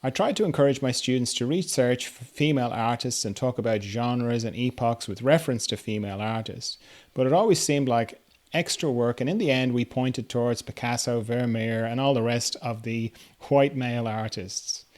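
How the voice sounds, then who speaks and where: Casual male podcast host, medium pitch. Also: normal speed, a little mellow. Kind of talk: casual interview chat, reading listener questions.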